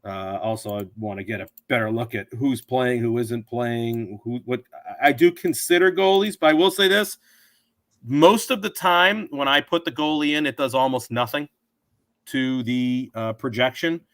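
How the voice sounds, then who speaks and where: A hoarse man with a sore throat, rambling through his betting picks as a sports show host. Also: mid-range voice, normal speed, a bit bright, no echo. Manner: rambling, thinks aloud with many fillers and restarts. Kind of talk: casual betting picks talk. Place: home.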